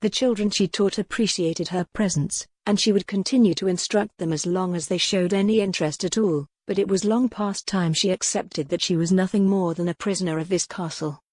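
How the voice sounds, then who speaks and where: A woman's voice: lowish voice, brisk, few pauses, a little mellow, no echo. A woman reading a scripted historical story as an audiobook narrator.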